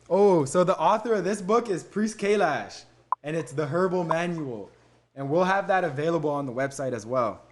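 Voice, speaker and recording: Relaxed young man chatting on a livestream. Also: a little high. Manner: easygoing, reads comments aloud and thanks viewers; fillers and laughs between answers. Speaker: livestream host answering viewer questions. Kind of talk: casual live-stream chat.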